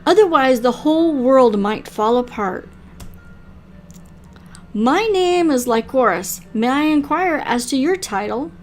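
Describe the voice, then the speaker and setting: Mysterious female library keeper for a visual novel, read by a woman: high, slow, formal, gracious and wistful. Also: expressive, soft background music. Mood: courteous, inviting. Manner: formal, polite, wistful phrasing.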